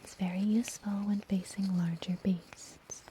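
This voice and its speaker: A woman playing a friendly, mysterious witch healer in a whispered ASMR fantasy roleplay, soothing and gently teasing. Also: mid-range voice, fairly even intonation, a little hiss or hum, close to an everyday voice. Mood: calm, instructive. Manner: soothing, unhurried, softly whispered.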